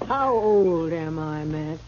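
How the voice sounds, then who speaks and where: A woman's voice: sits low, expressive, slow, a little mellow. Folksy teasing older american saloon lady, motherly and shrewd, muffled old radio western. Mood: wistful, needling. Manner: folksy, wry, familiar. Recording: muffled, noisy 1950s radio recording.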